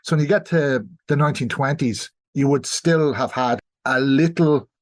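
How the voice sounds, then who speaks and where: Measured Irish man explaining in an interview. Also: medium pitch. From South Kildare, Ireland. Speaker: podcast guest, historian and sociologist.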